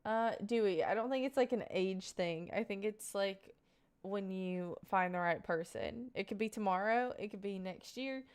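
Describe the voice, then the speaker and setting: This is a young woman in her twenties talking to her viewers on a live stream. She answers chat questions in a casual, meandering way, full of fillers, and turns sincere when she offers life advice. Her voice is smooth and clear, sitting at an ordinary middle pitch. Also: moderate pace. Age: 20s. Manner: rambling, filler-heavy, earnest advice.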